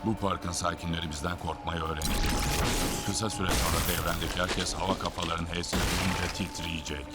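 Guy doing a deep cold robot villain from a cartoon, stiff n menacing, loud music behind. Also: little pitch movement, brisk, lightly acted. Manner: formal, stiff, menacing delivery. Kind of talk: cartoon dub acting.

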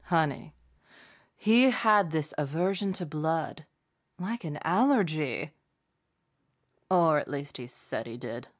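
Old country music star, audio drama, unhurried, sassy, fond, folksy. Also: female voice, sits low, lively intonation, heavily acted. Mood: fond, wry. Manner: folksy, sassy drawling storytelling. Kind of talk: documentary interview acting.

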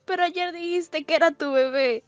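A woman voicing an excitable, lovestruck, awkward teenage girl in a fan comic dub, dramatic and pleading. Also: extremely high pitch, normal speed, no echo, muffled recording, soft background music.

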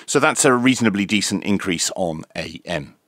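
A man's voice: low voice, lively intonation, moderate pace, a bit bright, no echo, clean studio sound. A man giving an unscripted hands-on product demonstration as a reviewer, explaining step by step in a matter-of-fact, methodical way. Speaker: radio reviewer presenting a demonstration.

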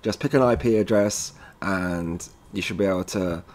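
A man's voice: sits low, moderate pace, a little mellow. Man giving an informal tech walkthrough. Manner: addresses viewers as guys. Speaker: presenter of product setup tutorials.

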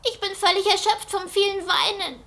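A woman's voice: extremely high pitch, moderate pace, vocal fry, bright, forceful tone, full character voice. A woman playing a whiny, dramatic and dreamy teenage schoolgirl in an anime radio play, full of sighs and exclamations. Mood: tired, sulky. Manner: exclaiming, sighing, theatrical.

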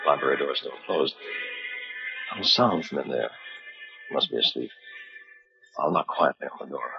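An actor in an old-time radio drama playing a wary, commanding gentleman with a clipped, urgent delivery. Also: extremely low pitch, lively intonation, moderate pace, vocal fry, lo-fi sound, music in the background, heavily acted. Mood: hushed, watchful. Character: commanding, wary gentleman investigating strange events.

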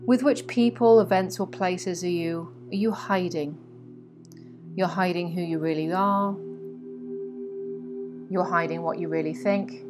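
A woman guiding a meditation in a soothing, instructive way, with frequent pauses between phrases. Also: lowish voice. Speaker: guided meditation teacher. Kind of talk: guided meditation narration. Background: meditation music with singing bowls.

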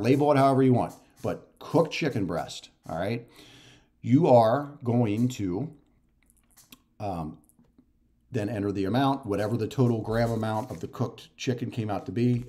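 A man's voice: fairly low pitch, a little mellow. A man in his forties giving a casual instructional talk to camera, going step by step and often checking in with "okay?" or "right?". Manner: repetitive step-by-step explaining with frequent "okay?" and "right?" check-ins. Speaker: fitness and nutrition coach. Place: living room at home.

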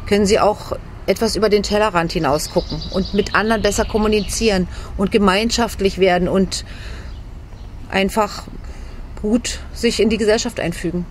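A woman's voice: mid-range voice, steady tone, moderate pace. Middle-aged woman, school social worker, thoughtful interview answers, outdoor handheld mic, faint background hiss. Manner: earnest, reflective, searching for words. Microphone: handheld mic held by interviewer.

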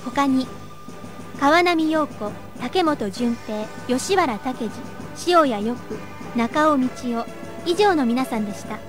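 A woman announcer reading scripted credits in a formal, measured way. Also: high-pitched, lively intonation, music in the background.

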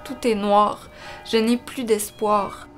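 A woman's voice: medium pitch, little pitch movement, slow, soft background music, natural voice. A woman with a Quebec accent playing a lovesick teenage girl, reciting her poetry as a solemn, melodramatic voice-over. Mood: despairing. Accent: Quebec French.

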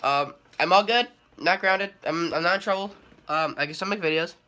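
A man's voice: high voice, normal speed, bright, forceful tone. Young man vlogging, slightly nasal with a cold. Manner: rambling, hesitant, many ums and restarts. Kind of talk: casual update vlog.

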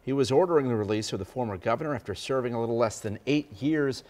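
A man's voice: medium pitch, quick pace, a little mellow. American male TV news anchor. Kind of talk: scripted news reading. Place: TV studio.

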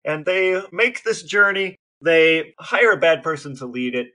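A man's voice: on the high side, lively intonation, a little slow, bright, forceful tone, rough recording. An American man co-hosting a podcast, telling a story in casual conversation. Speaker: podcast co-host.

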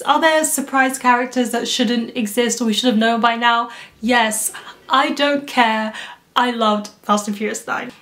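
Young woman, chatty and enthusiastic, slightly high voice, home vlog to camera. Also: a bit bright. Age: young adult. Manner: chatty and enthusiastic, laughs easily. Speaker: book vlogger. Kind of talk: casual reading vlog. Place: small room at home, indoors.

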